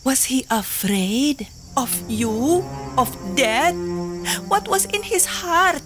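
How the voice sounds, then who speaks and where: A woman's voice: high voice, big pitch swings, over music, heavily acted. A fierce pleading island woman. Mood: anxious. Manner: slow, poetic, impassioned pleading. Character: proud desperate young native woman, fierce and pleading. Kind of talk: full-cast audio drama.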